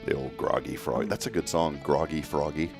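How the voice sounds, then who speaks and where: A man hosting a morning radio show in casual chat, sounding groggy and rough, rambling and restarting his sentences. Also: very deep voice, moderate pace, music in the background. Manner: rambling, self-interrupting, chuckling.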